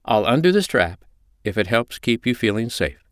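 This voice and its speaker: Man voicing a commanding woman, pitched well up. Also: big pitch swings, normal speed, no echo, studio quality, close to an everyday voice. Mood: calm, reassuring. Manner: firm, instructing, soothing. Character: commanding, reassuring adult woman, a dominatrix mistress.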